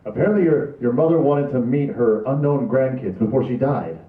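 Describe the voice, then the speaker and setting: A man's voice: mid-range voice, animated, a little fast, close to an everyday voice. A man reading the part of a chummy, money-minded private investigator in a casual comedy table read. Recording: roomy, distant, phone-like sound.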